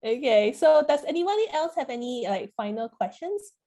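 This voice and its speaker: A woman's voice: high voice, big pitch swings, normal speed, dark tone. A woman hosting a workshop in a casual, chatty way, with informal, wandering phrasing full of "you know" and "like". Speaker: host, moderator.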